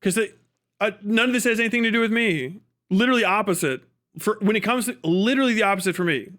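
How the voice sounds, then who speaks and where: High-pitched man ranting, profane and exasperated. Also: a bit bright. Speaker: livestream commentator reacting to clips. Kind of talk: casual unscripted political rant.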